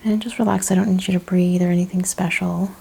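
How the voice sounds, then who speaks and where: A woman speaking softly, often in a breathy whisper, gently and carefully explaining each step as a chiropractor in an ASMR exam roleplay. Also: on the low side, level tone, fast. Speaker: ASMR host playing a chiropractor examining a patient.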